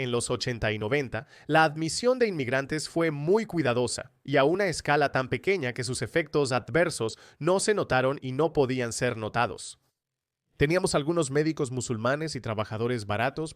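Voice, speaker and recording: A man's voice: medium pitch, dry recording, clean studio sound. A man presenting a scripted political commentary monologue in a polemical, sardonic manner. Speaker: commentator and host of opinion video.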